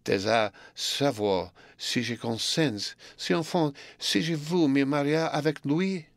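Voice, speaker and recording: A man reading aloud voices a shy, earnest young woman pupil, speaking softly and slowly in a raised tone. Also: on the high side, creaky, no echo, pitched above the performer's own voice. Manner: soft, subdued and slow.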